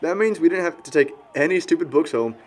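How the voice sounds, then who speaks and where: A man's voice: fairly high pitch, fast talker, lightly acted. A young American man acting as a crude, dim, defensive slacker in film dialogue. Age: young adult. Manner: blunt, defensive. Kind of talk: naturalistic amateur film dialogue.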